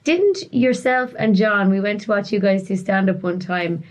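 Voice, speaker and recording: A woman hosting a podcast, chatty and quick to laugh. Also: mid-range voice. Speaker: podcast host. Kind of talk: casual podcast chat. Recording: slightly dull, muffled audio.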